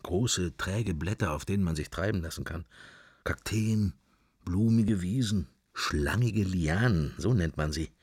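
A daydreaming man lost in interior monologue in a radio play. The voice is deep and husky, recorded close and dry, drifting from one fragment of thought to the next. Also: measured pace, a little mellow, no room sound. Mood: dreamy, languid. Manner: fragmentary, drifting, thinking to himself. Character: musing man in Dublin, idly drifting daydreamer.

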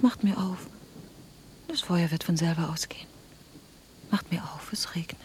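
A woman's voice: lowish voice, natural voice. An actress playing a distraught woman who pleads insistently in a German radio drama. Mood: hushed, soothing. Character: Anna, distraught pleading woman rousing people from sleep at night.

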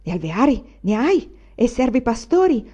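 A woman's voice: fairly high pitch, very animated, normal speed, mellow, voice pushed up. Woman doing an old woman servant, talkative, superstitious, dull lo-fi sound. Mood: curious. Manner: fussy, chattering storyteller. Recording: dull lo-fi recording.

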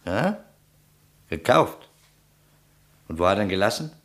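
An actor playing a skeptical, needling police detective in a crime radio play, brisk and dismissive as he questions. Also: fairly low pitch, very animated. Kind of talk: vintage German crime radio play acting.

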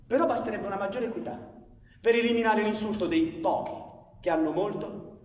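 A man playing a sardonic, world-weary anarchist intellectual in radio theatre, arguing in an articulate, ironic and deliberate way. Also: high voice, lightly acted. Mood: reflective, challenging. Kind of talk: Italian radio theatre acting. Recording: echoey hall, long reverb, background noise.

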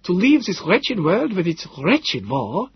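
A gentle, grieving older professor, as a male narrator puts him on, slow and resigned with a high, thin, faraway voice. The tone stays husky. The recording is dull and lo-fi. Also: wildly swooping pitch, exaggerated voice. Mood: sorrowful.

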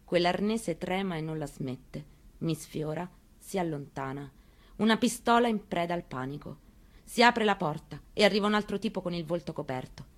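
An actress performing a first-person theatrical monologue reading, in a measured, intimate and quietly intense way. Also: female voice, fairly low pitch, lively intonation. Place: radio broadcast of a stage reading.

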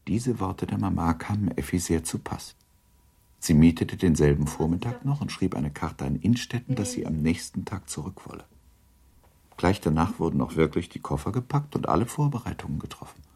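A man narrating a literary radio drama from a script, with a husky voice. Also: low-pitched, expressive, moderate pace, warm tone, heavily acted.

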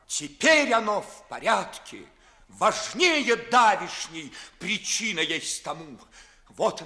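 A passionate, bitter gentleman scorned in love. His bright, forceful voice moves widely and slowly through the verse, declaimed in grand 1950s radio theatre style. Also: high-pitched, full character voice. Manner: declamatory, impassioned, biting.

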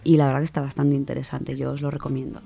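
A woman's voice: very deep voice, flat delivery, very fast, creaky, loud music behind the voice. A woman with a Spanish accent from Spain, chatting casually as a contributor to a radio book segment. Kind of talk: casual chat.

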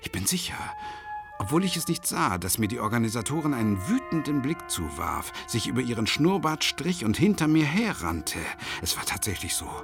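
An actor playing a reserved, frosty first-person narrator in a radio drama, telling his story wryly in a husky voice. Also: medium pitch, very animated, normal speed, over music. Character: embarrassed, frosty male narrator fleeing an admirer.

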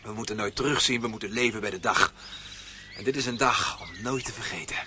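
Bright, jovial young gentleman. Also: lowish voice, a little fast, slight background noise. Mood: cheerful, ardent. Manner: theatrical, gallant speechmaking. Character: jovial, romantic young gentleman, high-spirited and gallant. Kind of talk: radio drama acting.

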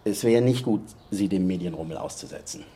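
A man's voice: low voice, a little fast, warm tone, a little hiss or hum, lightly acted. A man playing a guarded, polite aid worker in a radio drama.

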